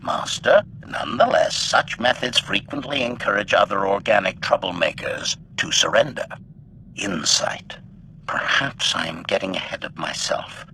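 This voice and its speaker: A man's voice: lowish voice, bright, forceful tone, a little hiss or hum, exaggerated voice. A man voicing a polite but bloodthirsty assassin droid in a video game, clipped, formal and coldly analytical. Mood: smugly pleased. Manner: clipped, formal, announces each statement type. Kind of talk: video game character acting.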